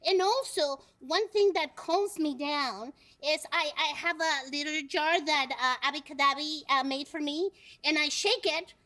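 Young girl monster puppet, bubbly and excitable, Mexican Spanish accent, very high, bright voice. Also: a little slow, few pauses. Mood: chatty. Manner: bubbly, excitable, hesitant fillers. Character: cheerful young female monster puppet, five. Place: television studio set.

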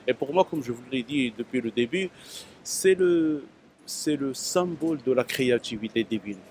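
Middle-aged man, reflective, halting speech, street at night, faint traffic. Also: medium pitch, animated, darkish tone. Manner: reflective, halting with repeated words. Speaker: passerby interviewee. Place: city street at night, outdoors.

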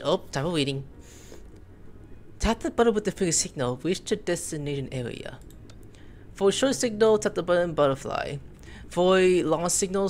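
A man streaming a video game, commenting casually and breaking into loud, high yelps during fights. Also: slight background noise. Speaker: gameplay streamer. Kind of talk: live gameplay commentary. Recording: game music playing underneath.

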